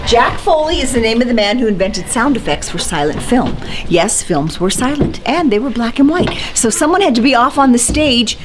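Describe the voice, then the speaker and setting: A woman's voice: on the high side, lively intonation, moderate pace, noisy background. A woman giving an explanatory tour, telling it like a story with theatrical little demonstrations. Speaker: studio tour guide.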